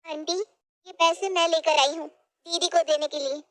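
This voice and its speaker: A woman's voice: very high-pitched, fairly even intonation, measured pace, a bit bright, voice pushed up. A woman voicing an outspoken, protective young woman in a storybook tale who talks directly and indignantly. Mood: earnest.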